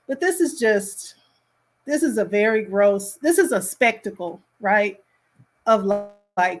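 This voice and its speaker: A woman gives casual, chatty commentary as a reaction host. She talks slowly with halting phrasing, landing words with appalled emphasis. Her pitch sits in a middle range.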